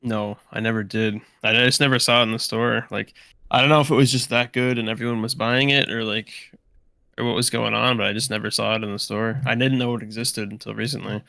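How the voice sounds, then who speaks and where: A man's voice: on the low side, fairly even intonation, a little fast, gravelly, sharp tone, dry recording. A man co-hosting a casual podcast chat, giving brief offhand answers. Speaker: podcast co-host.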